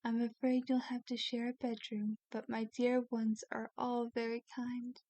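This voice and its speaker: Woman playing a slow, soothing, high-voiced lady vampire. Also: level tone, no room sound, lightly acted. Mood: doting, pleased. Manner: gentle, soothing, genteel, coaxing. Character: kindly, doting female vampire, genteel mansion owner. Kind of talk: ASMR roleplay, soft scripted acting.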